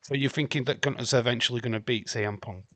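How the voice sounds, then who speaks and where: A man's voice: medium pitch, fairly even intonation, fast talker, crowd noise. A man co-hosting a casual live stream podcast, chatty and greeting viewers, losing his voice. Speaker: podcast co-host.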